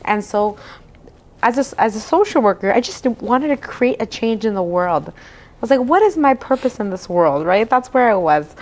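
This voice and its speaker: A woman's voice: on the high side, expressive, moderate pace. A woman counsellor from Canada talking in a casual, encouraging way and often checking in with "right?". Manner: warm encouraging listener, frequent "right?" tags. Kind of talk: casual conversation.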